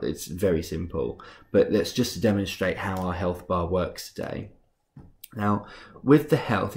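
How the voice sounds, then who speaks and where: A young man with a cold walks viewers through a tutorial, his voice husky, rough and noticeably stuffed up. He speaks in a lowish register with a gravelly fry at the ends of phrases. He talks in a relaxed, unhurried way, filling the gaps with frequent ums. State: congested from a cold. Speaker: game tutorial host. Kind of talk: screen-recorded software tutorial.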